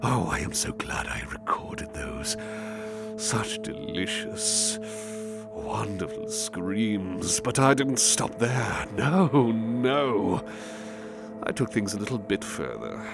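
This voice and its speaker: A man playing a refined, sadistic serial-killer nobleman in a horror audio drama, gloating theatrically and savouring his words with breathy drops. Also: mid-range voice, sing-song, slow, full character voice. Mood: gloating relish. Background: music under the voice.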